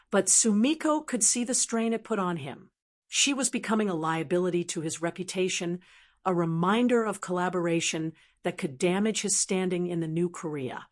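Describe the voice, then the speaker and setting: A woman reading an audiobook in scripted narration, measured and even. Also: mid-range voice.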